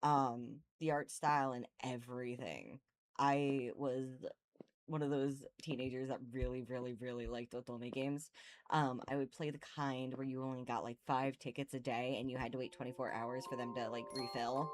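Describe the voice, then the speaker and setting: A woman's voice: extremely low pitch, normal speed. A young adult woman giving casual, gushing commentary while she plays a visual novel and reads from it. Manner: excitable, gushing, stumbles while reading. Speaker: streamer playing a visual novel. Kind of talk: casual gaming commentary mixed with reading game text aloud. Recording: music playing behind the voice.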